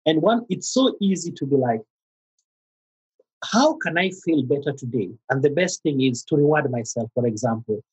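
A middle-aged man with a Kenyan accent, a therapist speaking as a podcast guest, pausing often with fillers and restarts. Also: medium pitch, expressive, darkish tone, husky, rough recording. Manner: halting, many fillers and restarts. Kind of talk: conversational interview.

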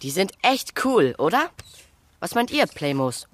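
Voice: medium pitch, very animated, a little slow. An actor playing a playful boy who tries hard to sound cool in a children's audio drama.

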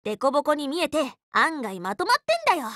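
A woman's voice: high-pitched, very animated, fast, a bit bright, no room sound, studio quality. A woman playing an upbeat, loyal, tomboyish teenage magical girl in an anime game, speaking in a casual, frank, boyish way. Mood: fond. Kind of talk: anime game character voice lines.

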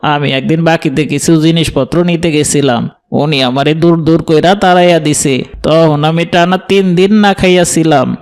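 A man plays a poor cartoon villager with a grievance. His voice is slightly high, and he speaks in rustic, colloquial dialect. His manner is complaining. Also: fairly even intonation, moderate pace, darkish tone, clean tone, natural voice. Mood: aggrieved.

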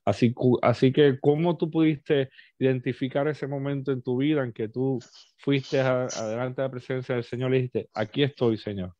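A man in his thirties, a pastor hosting an interview over a headset, asking long, measured, churchly questions. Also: medium pitch, fairly even intonation, dark tone, no room sound. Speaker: pastor and host. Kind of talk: interview over video call. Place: home office with bookshelves. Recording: dry, lo-fi video call audio.

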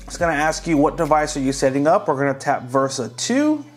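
Relaxed man walking through a gadget tutorial. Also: on the high side, brisk, faint music behind. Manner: friendly, relaxed, walks viewers through each step. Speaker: tech tutorial host. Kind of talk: casual step-by-step tutorial voice-over.

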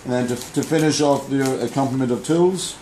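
A man's voice: mid-range voice, brisk, warm tone, slight background noise. A man in his thirties with an Australian accent, a barber casually demoing a tool kit with enthusiastic, informal patter, recorded on a camera in a small barbershop. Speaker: barber reviewing products. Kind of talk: casual product demo. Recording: roomy shop with faint ambience.

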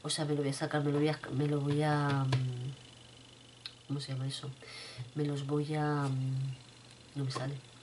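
Low-voiced woman with a cold, chatting. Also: flat delivery, unhurried, long pauses, background noise. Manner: chatty, repeats herself, addresses viewers fondly. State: has a cold, rough throat. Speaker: vlogger. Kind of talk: casual chat to viewers. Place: home room, indoors. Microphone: webcam at desk.

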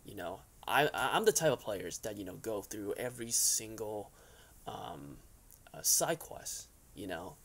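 A man's voice: fairly low pitch, animated, measured pace, fairly bright tone, slight background noise. A young man talking in a casual, rambling opinion vlog, tagging his points with little check-in questions. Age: young adult. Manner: conversational, rambling, frequent "right?" and "you know" tags. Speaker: YouTube host. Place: furnished basement room, indoors.

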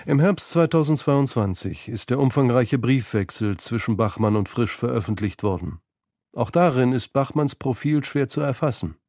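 Man, documentary narration, scripted, mellow timbre, close and dry. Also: mid-range voice, lively intonation, normal speed, dry recording. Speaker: narrator of a literary feature. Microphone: close studio microphone.

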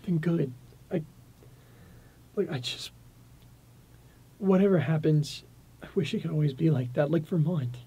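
A man's voice: fairly high pitch, a little slow, long pauses, slightly rough, lo-fi sound, heavily acted. A man acting a wistful, guarded lover in a naturalistic drama scene, understated and hesitant. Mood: wistful, doubtful.

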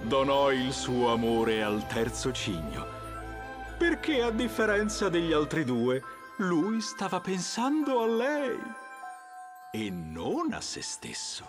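An actor voicing a sentimental, theatrical bird who tells fairy tales in a cartoon with drawn-out, emphatic delivery. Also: male voice, mid-range voice, big pitch swings, over music. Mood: lyrical, dramatic. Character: theatrical, sentimental wading bird storyteller with grand airs. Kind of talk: dramatic fairy-tale storytelling for a cartoon.

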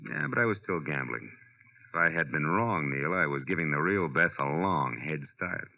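An American actor playing a world-weary, tough private detective in a 1940s radio noir, clipped, colloquial and wry. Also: male voice, extremely low pitch, lively intonation, normal speed, gravelly, heavily acted. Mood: explaining, wry. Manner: hard-boiled, clipped, colloquial. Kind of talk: 1940s radio acting, first-person noir narration. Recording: dull old radio recording, music behind.

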